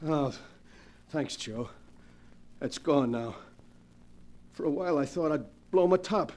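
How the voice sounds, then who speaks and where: An actor playing a tormented, pleading gangster with rough slangy speech in a 1940s radio mystery. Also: male voice, a little high, slow pace, darkish tone. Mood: brooding, uneasy. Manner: rough slangy tough-guy talk, pleading and stammering. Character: desperate, tormented gangster man dreading his next attack. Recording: vintage 1940s radio recording.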